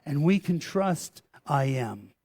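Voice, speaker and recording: A man's voice: fairly high pitch, very animated, slow pace, warm tone. A man preaching a sermon as pastor, earnest and emphatic, building on repeated phrases.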